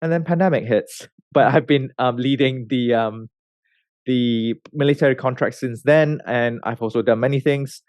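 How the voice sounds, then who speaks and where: A man in his thirties from Malaysia, a research scientist telling his career story in a relaxed way, with many fillers and restarts. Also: medium pitch, moderate pace, dry recording, studio quality. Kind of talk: conversational interview answers.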